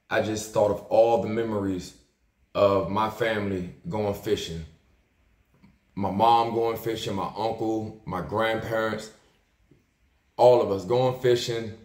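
An American man in his thirties chatting casually through an unboxing vlog, laid-back and slangy, repeating his phrases. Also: lowish voice. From Maryland, United States. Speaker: YouTube sneaker unboxer. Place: small indoor room with plain wall. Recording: roomy, echoing indoor sound.

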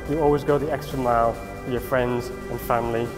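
A man's voice: mid-range voice, fairly even intonation, fairly quick, in a large hall, over music. A man, the groom, giving a plain-spoken, affectionate wedding speech to his bride. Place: wedding reception venue.

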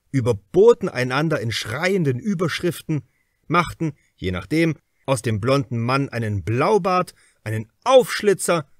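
Expressive male audiobook narrator. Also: mid-range voice, no room sound, studio quality. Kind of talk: scripted literary narration of a crime novel.

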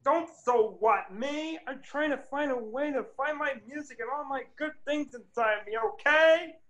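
A man's voice: very high voice, moderate pace, a bit bright, highs cut off. A man reading the part of an anxious, easily overwhelmed man in a table read over a video call, in a whiny, flustered way.

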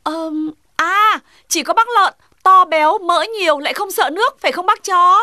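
A woman voicing a fussy, complaining animal neighbour in a cartoon dub, sharp-tongued and insistent. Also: extremely high pitch, normal speed, dry recording, studio quality, exaggerated voice. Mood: sly, coaxing. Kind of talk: Vietnamese children's cartoon dubbing.

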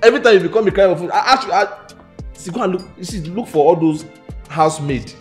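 A man's voice: on the low side, normal speed, a little mellow, faint music behind, lightly acted. A man with a Nigerian accent playing a scolding, mocking friend in a comic film, lecturing emphatically and repeating his opening words. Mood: scornful, scolding. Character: scornful, scolding male housemate mocking his lazy friend.

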